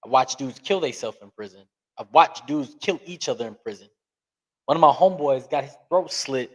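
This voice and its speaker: A man giving an interview on a podcast, talking earnestly and emphatically, speeding up and slowing down to stress points. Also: medium pitch. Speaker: podcast guest. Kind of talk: candid interview talk.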